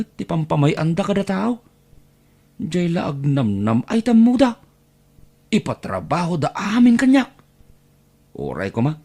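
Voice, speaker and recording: A low voice that swings widely carries a put-upon young family driver acted by a woman in an Ilocano radio-style drama. The Ilocano accent is plain, and the character sounds like someone quietly resentful of relatives. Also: creaky, exaggerated voice. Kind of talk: Ilocano audio drama acting.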